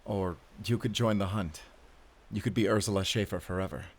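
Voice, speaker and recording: An actor playing a weary, devoted werewolf hunter in an intimate romantic audio roleplay, speaking haltingly and sincerely with soft sighs. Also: male voice, deep voice, steady tone. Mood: heartfelt, pleading.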